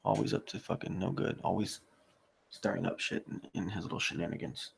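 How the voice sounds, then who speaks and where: A man with a fairly low voice and heavy vocal fry hosts a casual live stream. He reads comments aloud and answers in a rambling, halting way, with muttered asides. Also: fairly even intonation. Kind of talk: casual livestream chat, reading viewer comments aloud.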